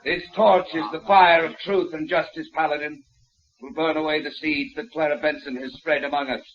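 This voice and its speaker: An actor playing a fanatical, self-righteous frontier zealot who preaches and rants in a 1950s western radio drama. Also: male voice, on the high side, steady tone, moderate pace, natural voice. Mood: righteous fury. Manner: preachy, ranting, biblical declamation. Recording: dull old radio recording, crowd behind.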